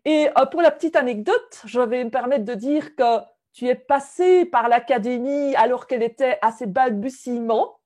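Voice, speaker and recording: A woman hosting a video-call interview, upbeat, laughing often and pausing with fillers. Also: sits high, a little mellow. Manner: upbeat, with frequent laughs and hesitations. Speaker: interview host.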